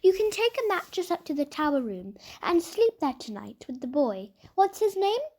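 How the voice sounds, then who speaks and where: A woman voicing a tired, sharp-tongued aunt in a children's storybook, with a disagreeable manner. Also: extremely high pitch, big pitch swings, moderate pace, voice pushed up, exaggerated voice. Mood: grudging. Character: thin, tired, sharp-tongued aunt, easily put upon.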